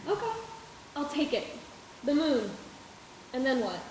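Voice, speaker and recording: A woman acts a demure young small-town sweetheart in an old-time stage radio drama. Her voice is high, soft and gentle, with room echo and some hiss. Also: expressive, measured pace. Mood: sweet, coy. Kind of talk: live 1940s-style radio play acting.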